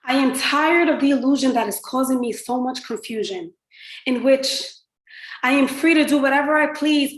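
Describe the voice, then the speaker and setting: An actress performing a rhymed spoken-word monologue with dramatic, pleading delivery, a woman in a roomy, echoing recording. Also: sits high.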